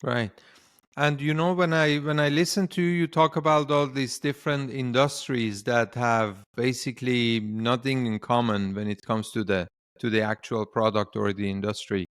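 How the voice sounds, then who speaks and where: A man's voice: medium pitch, fairly even intonation, normal speed, fairly bright tone, clean tone, no room sound, clean studio sound. A man hosting an interview show, asking questions with many fillers and restarts. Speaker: podcast host.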